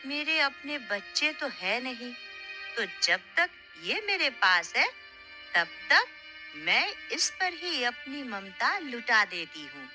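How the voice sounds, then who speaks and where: A woman voicing a kind, tender village woman in an expressive, melodramatic cartoon storytelling style. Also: fairly high pitch, slow, over music. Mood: tender, doting. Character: kind, tender village woman who adopts an abandoned baby girl. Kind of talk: dramatised children's moral story narration.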